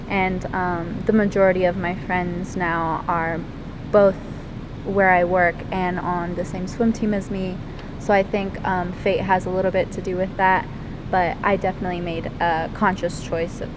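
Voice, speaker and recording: Hesitant teenage girl over loud rumble. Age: teens. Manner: hesitant, many ums and likes. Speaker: interviewee, lifeguard and swimmer. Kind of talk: casual interview answers. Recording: loud rumbling background noise.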